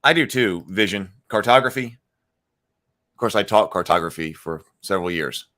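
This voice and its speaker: Male stream host, low and punchy, rambling and unscripted, full of restarts. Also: animated, moderate pace, bright, forceful tone. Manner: rambling, thinks aloud with frequent restarts and fillers; reads viewer chat comments aloud and replies. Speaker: livestream host. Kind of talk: casual live-stream chat about tabletop gaming.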